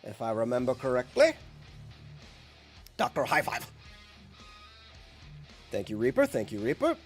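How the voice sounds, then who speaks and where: Male streamer, thirties, mid-pitched, a touch congested, loose jokey chatter to chat, faint guitar under. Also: wildly swooping pitch, unhurried. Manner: rambling, jokey, talks to chat. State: congested, recovering from bronchitis. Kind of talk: live game stream chat.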